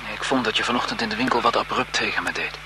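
An actor playing an eager, submissive young photographer in love, hesitant and pleading, in 1970s radio drama style. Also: male voice, lowish voice, rapid-fire, background noise, natural voice. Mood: hurt, pleading.

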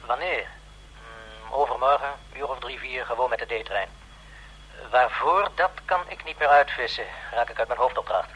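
An actor playing a field agent reporting to headquarters over a telephone-like link in a radio play, hesitant and casual. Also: male voice, medium pitch, moderate pace, fairly bright tone, husky. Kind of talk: radio drama dialogue.

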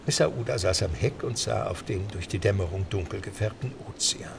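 A man's voice: lowish voice, fairly quick, vocal fry, distant mic, noisy background. A man narrating a scripted adventure story in a dramatic storytelling style.

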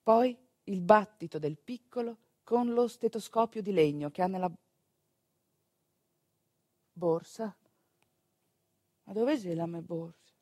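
A woman's voice: mid-range voice, slow pace, pauses often, warm tone, breathy edge, no room sound. A woman telling a theatrical story, with conversational asides to her listeners. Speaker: stage actress narrating a monologue.

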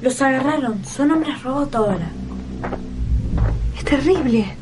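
An actress playing a frightened, horrified member of a fleeing family in a radio drama, with an anxious delivery. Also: female voice, high-pitched, lively intonation, measured pace. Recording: distant from mic, heavy music behind.

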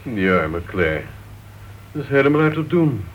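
An actor playing a chatty, genial club member in a Dutch radio play. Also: male voice, medium pitch, sing-song, a little slow, a little hiss or hum. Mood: matter-of-fact. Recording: dull old radio recording.

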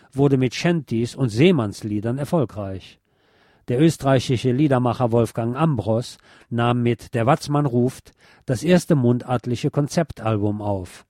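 A man reading scripted documentary narration in a measured, essayistic way. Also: medium pitch, dark tone, no room sound, clean studio sound.

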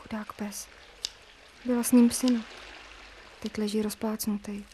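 A woman acting in a radio play as a squeamish yet fascinated servant, talking in colloquial, often hushed asides. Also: medium pitch, flat delivery, slight background noise, close to an everyday voice. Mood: timid, pitying.

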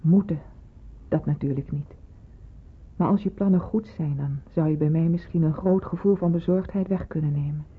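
A senior nurse in an old radio play, concerned and probing. Her lowish voice is very husky and measured, heard through a thin, muffled recording. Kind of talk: radio drama acting.

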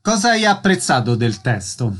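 A man's voice: mid-range voice, very animated, moderate pace, fairly bright tone. A man hosting a radio interview, reading prepared questions and announcements.

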